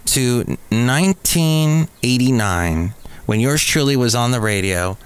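A man's voice: mid-range voice, animated, slow, clean tone. A middle-aged American man hosting a podcast, casually reminiscing with playful, self-mocking asides. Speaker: podcast host. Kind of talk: casual reminiscing commentary over old radio tapes.

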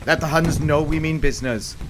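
A man's voice: a little high, a little fast, close to an everyday voice. Man acting a barking officer amid noise. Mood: commanding. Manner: barking orders, taunting. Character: harsh British officer driving soldiers over the top. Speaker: actor in a radio play. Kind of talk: radio drama acting.

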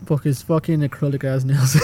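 A man's voice: mid-range voice, fairly even intonation, moderate pace, dark tone, slight background noise. A man in casual, joking chat, swearing freely and laughing often.

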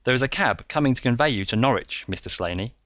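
A man voicing a calm, stern Victorian detective who speaks in a measured way. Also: mid-range voice, dry recording, highs cut off, pitched above the performer's own voice.

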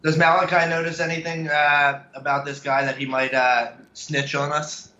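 A man's voice: fairly high pitch, level tone, normal speed, bright, forceful tone. A man chatting casually as a player in a tabletop game, recorded in an echoey room on a dull mic.

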